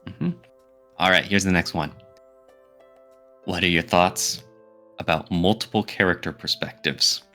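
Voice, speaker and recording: Man, podcast co-host, lowish pitch, forceful bright tone, conversational, explains his views, soft music behind. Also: measured pace, pauses often. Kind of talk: casual podcast chat about opinions.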